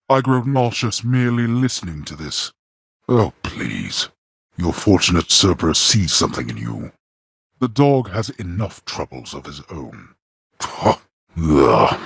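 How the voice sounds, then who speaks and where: A man playing a stern, imperious male lord of the underworld in a video game, speaking in a cold, disdainful, formal manner with a very husky, gravelly voice. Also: low voice, fairly bright tone, dry recording, studio quality, heavily acted. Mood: irritated. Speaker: voice actor.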